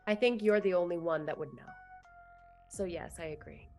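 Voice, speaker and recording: A woman's voice: fairly low pitch, normal speed, darkish tone, faint music behind. A woman playing a tabletop roleplaying game, casually stating her actions and speaking in character.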